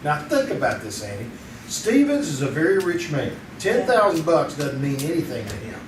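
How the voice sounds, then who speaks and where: An actor playing a skeptical, wisecracking newspaper photographer in an old-time radio drama. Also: male voice, a little high, big pitch swings, normal speed. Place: community theater stage. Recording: roomy, noisy, distant live stage recording.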